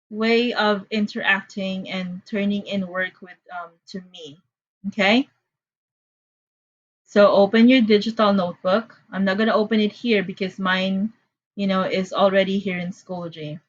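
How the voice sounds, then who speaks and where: A woman's voice: mid-range voice, fairly even intonation, unhurried, dull audio. A woman from the Philippines with a Filipino accent, teaching an online class in a chatty, apologetic way with frequent fillers. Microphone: computer mic on a video call.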